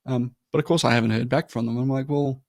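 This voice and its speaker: A man with an Australian accent, a guest on a marketing podcast who talks in a lively, anecdotal way. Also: mid-range voice, fast talker, creaky, no echo, studio quality. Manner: animated storytelling, acts out customer voices. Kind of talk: conversational interview.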